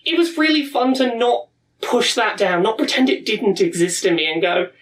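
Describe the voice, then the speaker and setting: A woman's voice: a little high, lively intonation, far from the mic. A woman in her thirties giving an earnest, reflective personal talk at a pride event. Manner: earnest, warm, with reflective pauses and restarts.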